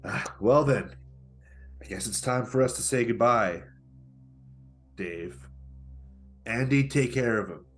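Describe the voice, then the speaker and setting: A man playing a smug, menacing con-artist pickup guru in a comedic script read, with taunting, theatrical delivery and a husky voice. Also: mid-range voice, soft background music. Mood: cold, threatening. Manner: taunting, theatrical, deliberate threats.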